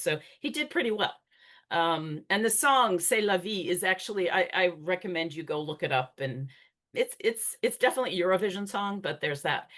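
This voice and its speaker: A woman's voice: lowish voice, fairly bright tone. A middle-aged woman presenting a talk in a conversational way, with frequent fillers and restarts. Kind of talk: conference talk.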